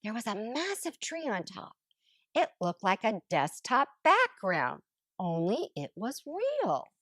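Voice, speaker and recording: A woman voicing a smug, lazy couch potato in an expressive, theatrical storybook read-aloud. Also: on the high side, no room sound, studio quality, heavily acted. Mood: wondering, calm. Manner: theatrical, exclamatory, drawn-out emphasis. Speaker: storybook narrator character. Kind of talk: expressive first-person picture book read-aloud.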